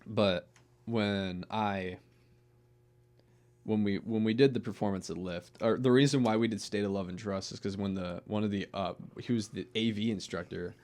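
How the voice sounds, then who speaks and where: A young man with a deep voice chatting casually as a podcast guest, rambling with restarts and swearing. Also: fairly even intonation, normal speed, a little mellow. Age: young adult. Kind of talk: casual chat.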